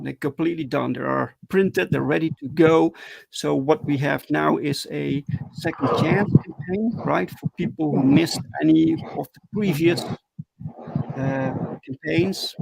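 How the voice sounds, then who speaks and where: A man from the Netherlands with a Dutch accent, a comic book creator chatting as a guest on a video call, halting with many ums and restarts. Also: on the high side, gravelly, darkish tone, husky, no echo, rough recording. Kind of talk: casual interview chat.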